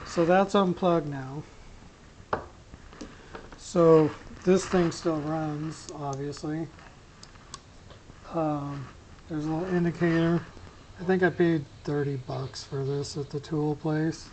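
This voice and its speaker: A middle-aged hobbyist giving a casual, unscripted gear demonstration, rambling and often pausing mid-sentence. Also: mid-range voice, fairly even intonation, darkish tone. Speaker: amateur radio hobbyist giving a gear demo. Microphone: small camera pinned to t-shirt. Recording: noisy clip-on camera sound with clicks.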